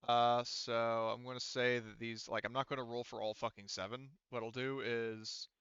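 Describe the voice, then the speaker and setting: Male game master, crisp forceful voice, casual and sweary, tabletop session, dry slightly muffled audio. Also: medium pitch, steady tone, moderate pace, dry recording. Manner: casual, profane, talking through dice rules. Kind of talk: running a tabletop roleplaying game.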